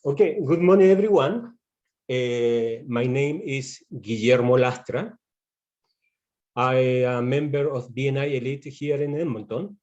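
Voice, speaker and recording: A man from Chile with a Chilean Spanish accent gives a webinar presentation as a pest control specialist, in halting non-native English. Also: medium pitch, a little mellow, lo-fi sound.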